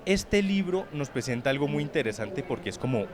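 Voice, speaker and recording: A man's voice: mid-range voice, animated, fairly quick. Man interviewing over crowd noise. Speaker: publishing house director hosting a book launch interview. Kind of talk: semi-formal live interview. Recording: busy book fair crowd behind. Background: crowd chatter at a book fair.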